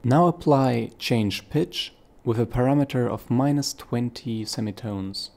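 A man hosting a software tutorial, giving plain step-by-step instructions. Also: medium pitch, measured pace, vocal fry. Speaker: tutorial host.

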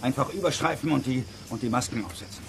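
An actor playing a kindly, worn-out uncle, somewhat breathless, in a children's radio play. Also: male voice, medium pitch, fast talker, natural voice. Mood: urgent. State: weary, out of breath. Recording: clear background noise.

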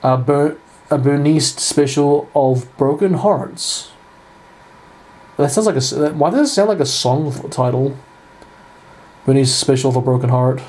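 A man's voice: medium pitch, a little slow, long pauses, darkish tone, poor audio. A man giving casual live reaction commentary, stammering and repeating his phrases. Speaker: reaction video host. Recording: music playing behind the voice.

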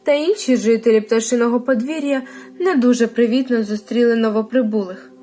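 A woman's voice: fairly high pitch, a little fast, dark tone. A woman reading a scripted children's bedtime story as a storyteller. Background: music.

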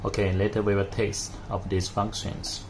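A man narrating a hands-on product tutorial in a halting, step-by-step way. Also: lowish voice, little pitch movement. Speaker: product demonstrator. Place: indoors at a desk with devices. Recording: noticeable background noise.

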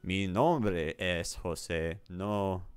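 Deep slow-talking man repeating spanish. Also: smooth, no echo. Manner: repeats app sentences aloud, chuckling, self-correcting. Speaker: streamer learning Spanish. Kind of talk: casual commentary while playing a language app.

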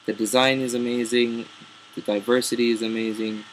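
A man's voice: medium pitch, level tone, fairly quick, a little hiss or hum, rough recording. A young man giving a casual, enthusiastic gear review to camera, conversational and repeating himself for emphasis, recorded at arm's length in a small room. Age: young adult. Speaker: keyboard player reviewing music gear. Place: small wood-panelled bedroom, indoors. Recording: music playing behind the voice. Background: instrumental music.

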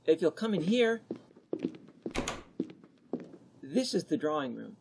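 A man acting an eager, lonely teenage boy in an amateur radio drama, boyish and husky. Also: a little high, very animated, a little fast, noisy background. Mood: polite, pleased.

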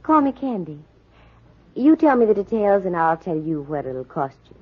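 Husky, wisecracking lady private eye. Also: mid-range voice, big pitch swings, normal speed. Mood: dryly teasing. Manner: dry, sardonic, wisecracking. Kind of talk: 1940s radio detective drama acting. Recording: muffled vintage 1940s radio recording.

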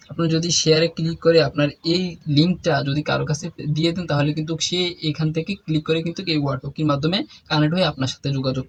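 A man hosting a step-by-step app tutorial, addressing viewers directly in an instructional, repetitive way. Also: a little high. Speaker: tech tutorial host. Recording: faint background noise.

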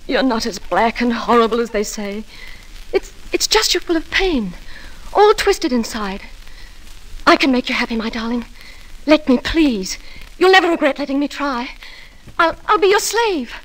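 Desperate lovesick wife in old radio drama, high emotional voice. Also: female voice, animated, moderate pace, long pauses, a bit bright, rough recording. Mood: pleading. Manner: emotional, theatrical. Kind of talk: 1940s radio drama acting.

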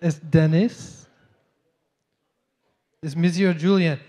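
A man's voice: on the high side, steady tone, takes it slowly, mellow. A man hosting a startup demo night, introducing the next presenter in an upbeat way. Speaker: event host. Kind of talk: emcee introductions.

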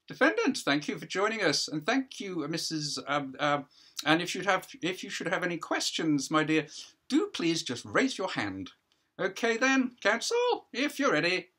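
Man acting pompous male judge, high pitch, lively swoops, condescending, stage play. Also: full character voice. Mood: fussy, genial. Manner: brisk, condescending, faux-genial. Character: fussy, patronising male judge at party hearing.

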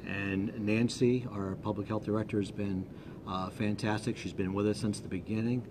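A man moderating a town hall, reading questions and thanking speakers in a halting way. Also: fairly low pitch, steady tone. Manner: hesitant, halting closing remarks. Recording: noisy recording.

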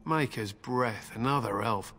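A man acts as a gruff fantasy-game warrior with a mid-pitched voice. He is curt, brusque and dismissive, with no patience to spare. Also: a little slow, heavy background noise, close to an everyday voice. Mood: impatient. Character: gruff, dismissive male warrior, impatient with elves. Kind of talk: scripted fantasy game dialogue.

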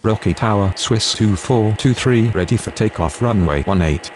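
A sober, deep-pitched airline radio man, played by a male actor, in a simulation game. His calls are procedural and clipped, with faint vehicle noise behind. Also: moderate pace, a little mellow, lightly acted. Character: adult male pilot and controller, sober, procedural. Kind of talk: clipped aviation radio phraseology.

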